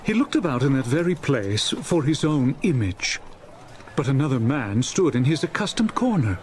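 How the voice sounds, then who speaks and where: A man narrating a dramatised classic story in an expressive, scripted audiobook style. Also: mid-range voice, slight background noise.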